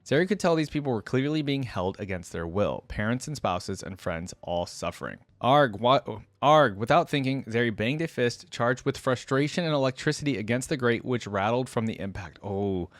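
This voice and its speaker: A man hosts a reaction, reading a story out loud in an emphatic way. His voice sits at an average pitch and he slips into casual commentary. The sound is dry, with hardly any room to it. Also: animated, fairly quick, hardly any pauses, no room sound. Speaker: host of a reaction channel. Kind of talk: reaction commentary and animated story read-aloud.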